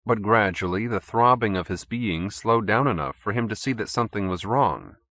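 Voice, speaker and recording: A man's voice: lowish voice, animated, normal speed, pushed and bright, no room sound, studio quality. A man reading fiction as an audiobook narrator, in scripted storytelling style.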